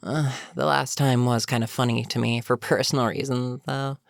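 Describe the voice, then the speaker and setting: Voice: mid-range voice, little pitch movement, normal speed, gravelly, dry recording, studio quality, lightly acted. A performer voicing a weary goblin captain in a roleplay audio, chatting casually and sardonically with a captive, amused yet businesslike. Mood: rueful. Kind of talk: immersive character roleplay monologue, conversational.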